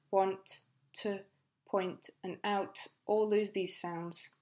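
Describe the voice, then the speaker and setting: A woman with a British accent teaching English pronunciation in a clear, careful, scripted lesson. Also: fairly low pitch. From Britain.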